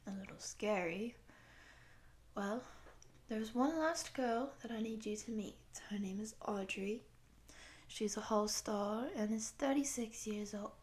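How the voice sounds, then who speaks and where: A woman playing a polite, composed guide in an audio roleplay, speaking softly to the listener. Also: mid-range voice, measured pace, lightly acted. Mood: calm, amused. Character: polite, composed female guide introducing monster girls. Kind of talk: intimate roleplay addressed to the listener.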